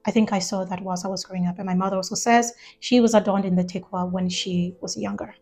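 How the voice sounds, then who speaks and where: A woman from Ghana talks through her topic in a measured, explanatory way, as in a video essay. Her voice sits at an ordinary middle pitch, and soft music plays faintly beneath her. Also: steady tone. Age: adult, lived years in the US.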